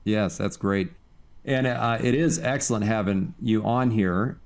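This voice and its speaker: Low-voiced man hosting a podcast. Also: measured pace. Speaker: podcast host. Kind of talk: scripted podcast introduction and interview.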